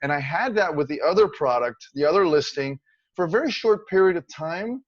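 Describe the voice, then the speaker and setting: A man's voice: on the high side, expressive, fairly quick, dry recording. Chatty man in his fifties, dull dry audio. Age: 50s. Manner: chatty, grateful, tells his story with quoted thoughts. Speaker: interview guest, online seller. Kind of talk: casual video-call interview.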